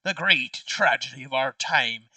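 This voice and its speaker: A man's voice: medium pitch, lively intonation, measured pace, pushed and bright, dry recording, recorded from a distance, full character voice. A man playing an aggrieved, pompous nobleman in an amateur game, pleading with theatrical formality. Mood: grave lament. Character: aggrieved pompous nobleman petitioning the king, grave and dramatic. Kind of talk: amateur role-play in a party game.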